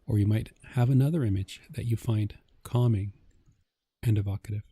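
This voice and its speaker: Male meditation guide: husky and deeply relaxed, soft, calm, measured instruction. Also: no echo. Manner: gentle, calming, measured instruction.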